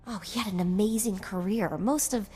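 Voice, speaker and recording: Actress as an eager sidekick, hall reverb. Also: female voice, mid-range voice, moderate pace, slightly rough, faint music behind, lightly acted. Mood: excited. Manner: excitable, informal. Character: eager, nervous young female sidekick, admiring and chatty. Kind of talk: video game voice acting.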